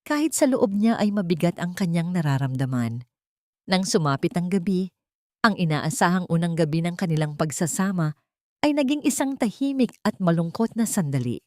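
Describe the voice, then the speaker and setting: A woman's voice: on the low side, warm tone, dry recording, studio quality. A woman reading a scripted, dramatic audio story as its narrator.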